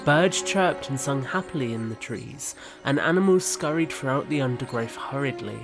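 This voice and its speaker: A man narrating a scripted audio drama in a measured, descriptive storytelling manner. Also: medium pitch, expressive. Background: background music.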